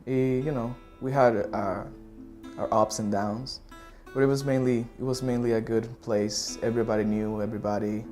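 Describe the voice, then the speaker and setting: A young man from the Republic speaks English, his voice sitting a little low. He talks haltingly in an interview, pausing to search for words. Soft music plays faintly underneath. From Dominican Republic. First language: Spanish. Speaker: theater student.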